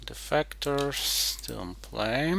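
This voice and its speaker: Slow, gravelly man muttering over a game. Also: mid-range voice, lively intonation, pushed and bright, a little hiss or hum. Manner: terse, halting, muttering to himself. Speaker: board game player. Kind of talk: muttered gameplay commentary, thinking aloud.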